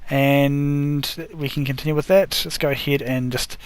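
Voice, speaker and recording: A man's voice: on the high side, fairly even intonation, unhurried, smooth, dry recording. A man chats his way through a gameplay session, narrating each crafting step as he goes. He trips over words and corrects himself along the way. His voice sounds a bit worn, as if he is losing it. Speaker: gaming video host. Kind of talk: casual gameplay commentary.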